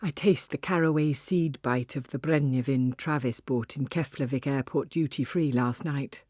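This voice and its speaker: A woman reading a first-person literary story as an audiobook narrator, with a husky voice. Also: very deep voice, normal speed, darkish tone, dry recording, clean studio sound.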